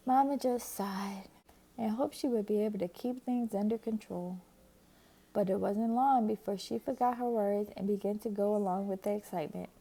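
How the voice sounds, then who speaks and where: A woman reading a children's picture book aloud with expressive, lively narration. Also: medium pitch, vocal fry. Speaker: storybook reader.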